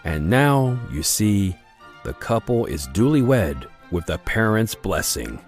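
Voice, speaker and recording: A man narrating an old-time radio drama in an expressive storytelling manner. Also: on the low side, slow, soft background music.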